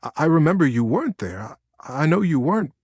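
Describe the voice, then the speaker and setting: A man voicing a sensitive young man in a storybook, speaking in a halting, sincere way. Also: on the high side, sing-song, a little mellow, husky, dry recording, studio quality, pitched above the performer's own voice, full character voice. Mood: confused, grateful. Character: hesitant, earnest, sensitive young man and brother.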